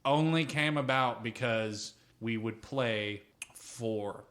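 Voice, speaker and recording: A man co-hosting a casual tabletop gaming chat, rambling through anecdotes with frequent swearing and an animated storytelling manner. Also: medium pitch, slow. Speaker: podcast co-host. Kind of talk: casual chat about tabletop role-playing.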